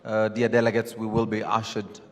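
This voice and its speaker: A man's voice: fairly low pitch, completely flat delivery, a little fast. A man acting as master of ceremonies, making polite, formal announcements and giving instructions to guests. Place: large event tent, over loudspeakers. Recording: reverberant PA sound, faint music behind.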